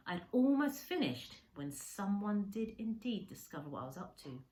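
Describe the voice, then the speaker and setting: A woman's voice: medium pitch, very animated, moderate pace, mellow. A woman reading a children's story aloud like a teacher, expressively, with dramatic emphasis on descriptive words.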